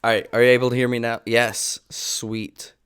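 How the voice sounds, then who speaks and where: A man's voice: medium pitch, level tone, moderate pace, a bit bright, clean tone. A man chatting casually as the host of a group live stream.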